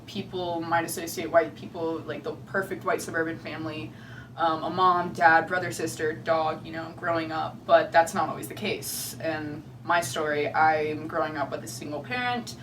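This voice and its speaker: A teenage girl speaks plainly and matter-of-factly on a school panel. Her voice is low for a girl and stays flat. The mic is distant, and a faint hiss runs underneath. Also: moderate pace. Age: teens. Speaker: student panelist. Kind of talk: casual panel talk.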